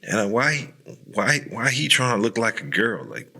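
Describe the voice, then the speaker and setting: A man's voice: medium pitch, sing-song, a little slow, sharp tone, slightly rough. A man hosting a podcast in a casual, candid chat, talking bluntly in slangy, profanity-laced language. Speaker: podcast host.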